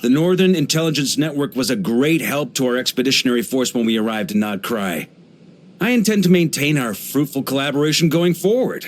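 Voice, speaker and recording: An actor playing a hearty middle-aged knight grandmaster in a video game, an easygoing adventurer who talks in a wry, conversational way. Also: male voice, medium pitch, lightly acted. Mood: admiring, easygoing. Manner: relaxed, wry, conversational, with chuckles and musing pauses. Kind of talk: video game character voice lines.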